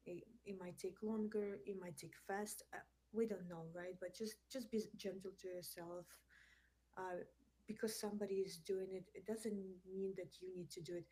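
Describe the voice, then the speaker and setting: A woman's voice: mid-range voice, mellow, slightly rough, rough recording. A woman from Ukraine, not a native English speaker, answering interview questions in a hesitant way with many fillers. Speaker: guest.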